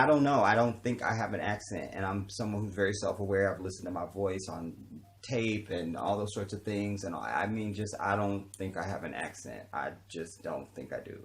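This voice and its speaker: A man born in Chicago and raised around the country, giving an emphatic, profane livestream monologue and often checking in with his listeners. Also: lowish voice. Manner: preachy, emphatic, profane, keeps asking "you understand?". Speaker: livestream host.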